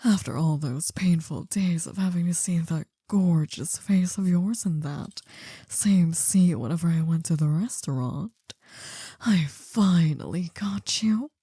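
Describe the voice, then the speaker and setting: A woman playing an older female mafia boss in an intimate ASMR roleplay, possessive, doting and soothing, in a breathy half-whisper. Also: on the low side, warm tone, no echo, studio quality. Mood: smitten, possessive. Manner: slow, soothing, coaxing.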